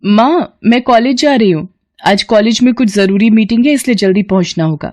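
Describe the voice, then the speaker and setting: Woman playing a brisk, scolding lady professor. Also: noisy background, lightly acted. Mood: hurried. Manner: raised pitch, brisk scolding teacher tone. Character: young woman economics professor, firm but kind.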